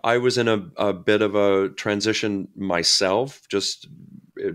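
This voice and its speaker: A middle-aged man, a guest actor, talking reflectively in a casual interview, often pausing and restarting his sentences. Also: on the low side, steady tone, a bit bright, smooth. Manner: reflective, halting, many restarts and fillers.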